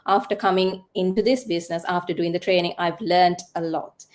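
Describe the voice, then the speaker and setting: A woman's voice: on the low side, steady tone, fairly quick, a little mellow. A woman in her thirties with a Sri Lankan accent, giving a casual testimonial as a guest on an online call. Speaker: guest, nurse in online business. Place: live online video call.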